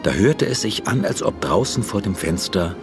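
An actor narrating a fairy tale audio drama in a measured, expressive, literary manner. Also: male voice, fairly low pitch, over music, natural voice. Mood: storytelling.

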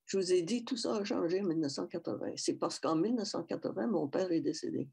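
An older woman with a Métis French accent, speaking slowly and reflectively in a personal talk over a headset on a video call from home. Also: lowish voice, lively intonation, gravelly, a little mellow, husky. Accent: Métis French from western Canada. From Saskatchewan, Canada. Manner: slow and reflective, with restarts and repetition. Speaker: guest speaker. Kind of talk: personal talk at a public event. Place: small room at home, indoors. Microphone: headset mic on a video call.

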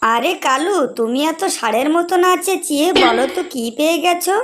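Woman as a high, chirpy bossy cartoon bird. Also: animated, normal speed, a bit bright, slight background noise. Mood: scolding. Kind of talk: animated cartoon voice acting.

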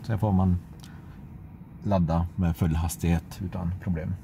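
Low-voiced Swedish man vlogging a road trip. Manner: relaxed, hesitant with many fillers. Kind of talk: casual travel vlog narration. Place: electric car on the road and at charging stops. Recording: clear car and road noise.

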